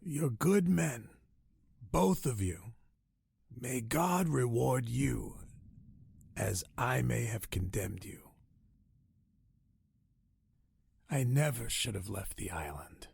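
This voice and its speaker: An actor playing a dying old castaway in a radio drama, weak and haunted, telling his story in halting, solemn, old-fashioned phrases. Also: medium pitch, animated, a little mellow, exaggerated voice. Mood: weak, remorseful. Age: older. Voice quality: weak, raspy, breathy.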